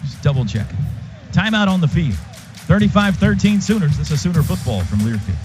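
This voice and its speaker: A man's voice: fairly high pitch, sing-song, fairly quick, over music. A man doing live football play-by-play on radio in clipped, punchy phrases. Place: radio booth at a football stadium. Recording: dull lo-fi radio broadcast, clear stadium noise.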